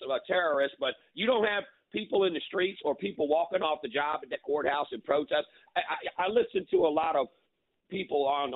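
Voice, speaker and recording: An American man calling in to a radio show, giving blunt, opinionated casual talk and repeating words for emphasis. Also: mid-range voice. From eastern United States (New Jersey, Maryland, Virginia). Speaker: radio call-in listener. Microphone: phone. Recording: tinny narrow phone line.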